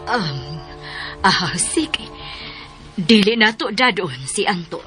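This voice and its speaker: A Filipino woman in a radio drama playing an older, anxious, persuasive lady of the house with a husky voice. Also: mid-range voice, expressive, takes it slowly, fairly bright tone, soft background music. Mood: hesitant. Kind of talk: Philippine radio drama acting.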